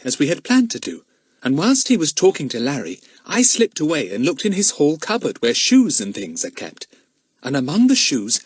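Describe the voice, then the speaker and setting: A man's voice: on the high side, slightly rough, dry recording, voice pushed up, heavily acted. A man voicing an eager, inquisitive young girl detective, earnest and self-important, in a children's audiobook. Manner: earnest, questioning. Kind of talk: acted children's audiobook dialogue.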